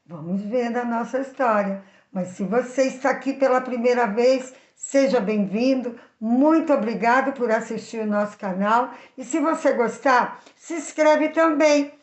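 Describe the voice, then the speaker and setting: A woman with a Brazilian accent telling a moral story for children in expressive narration. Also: fairly high pitch, unhurried, hardly any pauses. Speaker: children's storyteller and channel host. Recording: echoey room, slightly dull sound.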